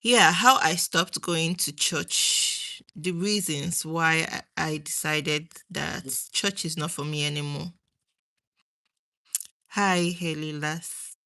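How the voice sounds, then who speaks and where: Young Nigerian woman, low-pitched with a sharp, bright edge, rambling live-chat host. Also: takes it slowly, creaky. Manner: rambling, chats with viewers, trails off. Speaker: livestream host. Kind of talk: casual livestream chat.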